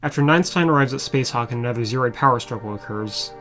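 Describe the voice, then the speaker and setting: A man's voice: medium pitch, fast talker, fairly bright tone. A man narrating an episode recap as a reviewer, with wry, sarcastic asides. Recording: music playing behind the voice. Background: background music.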